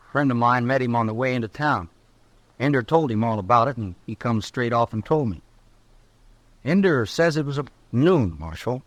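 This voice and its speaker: A man acting as a plain-spoken Old West townsman in a radio drama. He has a mid-pitched voice and a rural Western American drawl. His talk is folksy and earnest. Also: lively intonation, normal speed, no echo, natural voice. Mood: defensive.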